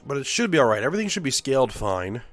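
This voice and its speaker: A man's voice: medium pitch, very animated, fast talker, fairly bright tone, soft background music. A man with a stuffy nose from a cold, casually thinking aloud as he plays and builds in a game. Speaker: let's play host. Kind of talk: casual gameplay commentary.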